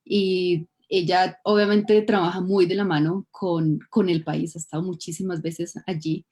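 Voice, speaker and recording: A woman with a low, mellow voice hosts an interview. She explains things calmly and steadily, introducing the topic and her guest. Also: a little slow. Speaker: host. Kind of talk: hosting an online interview.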